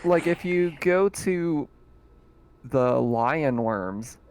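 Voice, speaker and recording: A man's voice: fairly high pitch, lively intonation, a little mellow, a little hiss or hum. A man running a tabletop horror game as game master, narrating casually with frequent laughs and filler sounds. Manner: laughs often, fills pauses with "uh" and "um".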